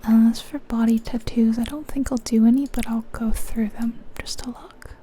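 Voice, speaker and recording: Woman doing whispery asmr game chat, super soft dark breathy voice, pretty even, bit of background noise. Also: a little high, normal speed. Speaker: ASMR channel host. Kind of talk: whispered ASMR gaming commentary, casual and chatty.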